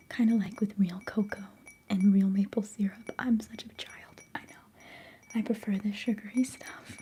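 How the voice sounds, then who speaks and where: A young woman whispering softly and chattily during a live ASMR stream, giggling now and then. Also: mid-range voice, slight background noise. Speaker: livestream host.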